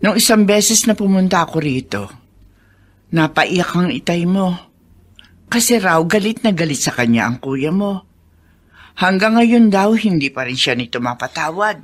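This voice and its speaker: Old Filipina family friend, radio drama. Frank, earnest, confiding, very animated intonation. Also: female voice, fairly low pitch, moderate pace, long pauses.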